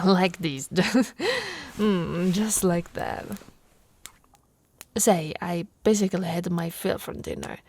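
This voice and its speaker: A woman playing a big, tough but tender orc wife in an intimate, soft ASMR roleplay. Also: mid-range voice. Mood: playful, teasing. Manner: soft, slow, intimate, often breathy with soft chuckles.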